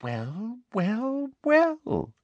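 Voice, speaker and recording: A reader voicing a prissy, cruel middle-aged female teacher and ministry official in a breathy, sneering, over-deliberate way for a storybook. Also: medium pitch, sing-song, dry recording, highs cut off, pitched above the performer's own voice. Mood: triumphant.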